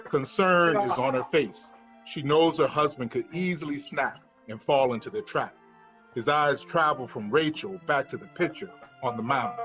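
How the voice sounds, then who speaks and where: A man's voice: medium pitch, fairly bright tone, highs cut off. A man reading screenplay stage directions as scripted narration at a table read.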